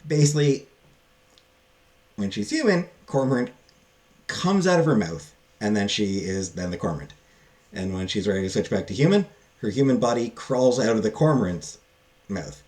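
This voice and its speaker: A man giving a casual, unscripted book review, halting and thinking aloud with frequent pauses. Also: lowish voice, lively intonation, a little mellow.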